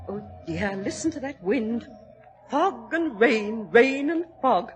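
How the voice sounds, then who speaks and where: Fretful high-society mother, high voice, lively swooping pitch, fussy, 1940s radio play. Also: takes it slowly, faint music behind. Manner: fussy, genteel, easily alarmed. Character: fretful aristocratic English mother, older. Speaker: Lady Maynooth. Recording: old radio broadcast recording.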